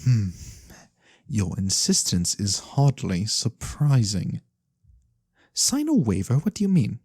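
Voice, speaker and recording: A performer voicing a mysterious, courteous fantasy lorekeeper in a soft-spoken ASMR roleplay, breathy and theatrical with knowing pauses. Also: medium pitch, very animated, no echo, studio quality, heavily acted. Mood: ominous, reluctant. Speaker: storyteller character.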